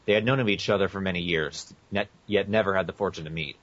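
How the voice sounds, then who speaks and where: A man with a very deep voice co-hosts a casual group podcast. His delivery is conversational, and the sound is dull and lo-fi. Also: fast talker, fairly bright tone. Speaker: podcast co-host. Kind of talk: casual group podcast chat, some reading aloud. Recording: muffled lo-fi internet podcast audio.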